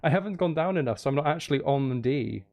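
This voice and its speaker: A man chatting casually on a livestream as he makes music, excitable and apologetic, with exclamations and nonsense syllables for riffs. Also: quick pace. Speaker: streamer making music. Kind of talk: casual livestream chat while composing music. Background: bass guitar and music playing.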